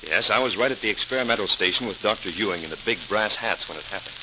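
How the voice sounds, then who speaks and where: A man's voice: fairly low pitch, quick pace, lightly acted. An actor playing an eager naval man recounting experiments he witnessed, in the style of an old radio docudrama. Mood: earnest, recounting. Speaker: narrator and participant. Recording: noisy, crackling old-time radio recording.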